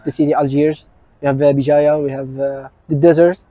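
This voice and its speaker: A young man from Algeria giving a hesitant interview answer in simple English with many fillers. He speaks in a flat, dark voice. The sound is dry. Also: a little high, a little fast, dry recording. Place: outdoors on a campus.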